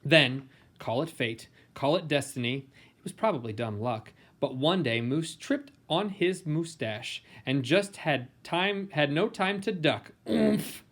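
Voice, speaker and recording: A man's voice: a little high, lively intonation, fairly bright tone. Theatrical man reading a children's storybook. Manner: theatrical, playful, dramatic emphasis and pauses. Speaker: storybook reader. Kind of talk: expressive read-aloud of a children's picture book.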